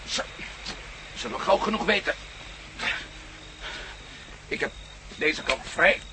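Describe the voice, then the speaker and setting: Casting: wry, wary Dutch adventurer, lively swings in pitch, old radio drama, heavy noise and crickets. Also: male voice, slow pace. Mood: curious, tense. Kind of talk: Dutch radio play acting. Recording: noisy old radio drama with outdoor ambience.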